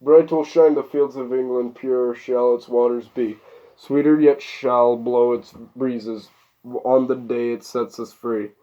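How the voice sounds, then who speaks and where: A man voicing an old male boar, a hoarse and solemn revolutionary elder declaiming to farm animals in a storybook reading. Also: medium pitch, steady tone, a little slow, dark tone, highs cut off, lightly acted. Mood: rousing, solemn. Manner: solemn, declaiming song verses.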